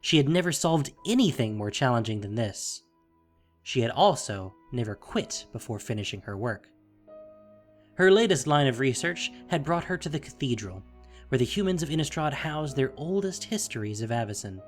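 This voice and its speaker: Male storyteller for scripted fantasy drama. Measured, dramatic, widely varied intonation, music underneath. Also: mid-range voice, sing-song. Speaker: audio drama narrator.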